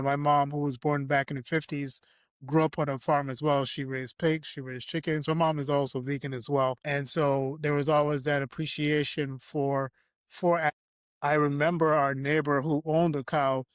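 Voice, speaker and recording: Host, man from Barbados; reflective storyteller, slightly high, level delivery, dry close mic. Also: no echo. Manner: reflective storytelling, frequent "you know" fillers. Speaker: podcast host. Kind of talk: conversational interview.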